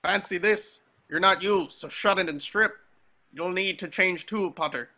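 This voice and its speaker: A man playing a gruff, impatient old wizard war veteran who barks curt orders in a table read over a video call. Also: high-pitched, unhurried, bright, forceful tone, heavily acted. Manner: barking, commanding, curt.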